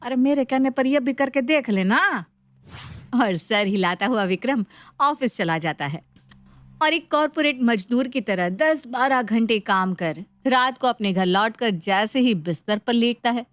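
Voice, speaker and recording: A woman's voice: mid-range voice, expressive, unhurried, no room sound. Woman storyteller in a dry recording. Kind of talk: scripted story narration.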